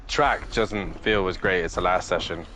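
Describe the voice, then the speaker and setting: A man's voice: deep voice, normal speed, pushed and bright, slight background noise. A man with a cold, racing online, who explains his driving lines and swears casually while chatting with friends. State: has a cold. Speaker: sim racing driver. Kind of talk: casual voice chat before a race.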